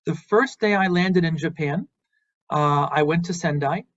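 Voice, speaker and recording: A man's voice: on the high side, unhurried, fairly bright tone. Earnest American man lecturing, muffled sound. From Boston, United States. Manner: earnest and encouraging, with some fillers. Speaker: university lecturer. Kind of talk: invited lecture, online.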